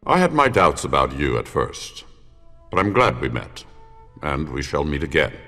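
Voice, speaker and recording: Very deep-voiced stern mage. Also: male voice, sing-song, moderate pace, sharp tone, full character voice. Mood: grave. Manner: grave, curt. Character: stern, proud, reserved male mage. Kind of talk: dramatic fantasy game acting. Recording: long reverb, music behind.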